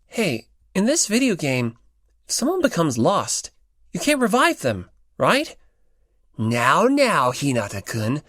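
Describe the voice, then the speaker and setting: A woman voicing casual high school boys, teasing or awkward, in an audiobook. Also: wildly swooping pitch, slow, a bit bright, no echo, clean studio sound, full character voice. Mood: casual, hesitant. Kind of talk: audiobook character acting.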